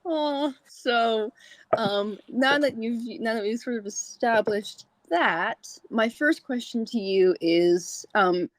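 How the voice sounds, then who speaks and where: A woman's voice: on the high side, slow pace, dry recording, rough recording. A woman hosting a conversational interview, building up to her questions in long, wordy sentences. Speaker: podcast host, interviewer.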